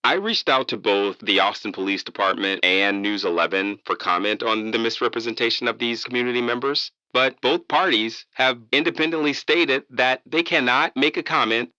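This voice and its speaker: A man's voice: mid-range voice, moderate pace, few pauses, bright, forceful tone, no echo, muffled recording, close to an everyday voice. A man playing a formal news reporter reading a scripted report in an audio drama. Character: crisp, formal male news reporter on a broadcast.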